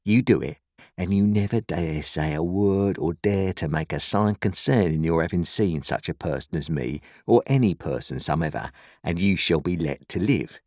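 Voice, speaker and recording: A man voicing a brutal escaped convict in an audiobook, with a rough growl and threatening, rustic working-class speech. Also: low-pitched, normal speed, a little mellow, no room sound, studio quality.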